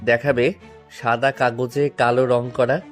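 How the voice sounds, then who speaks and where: A man's voice: medium pitch, level tone, unhurried, faint music behind, close to an everyday voice. A man acting a humble, self-deprecating young servant in an audio story drama. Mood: dejected, self-deprecating.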